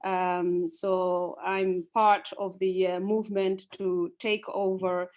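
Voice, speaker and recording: A young woman from Tanzania speaking to an audience and then telling a folk story, unhurried, with many ums and uhs. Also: fairly low pitch, flat delivery, a little mellow, muffled recording. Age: young adult. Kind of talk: storytelling talk.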